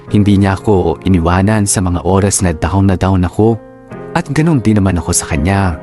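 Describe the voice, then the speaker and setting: A male actor voices a devoted Filipino boyfriend narrating a radio drama. His voice is low, with a sincere, reflective delivery. Soft guitar music plays underneath. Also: moderate pace, natural voice.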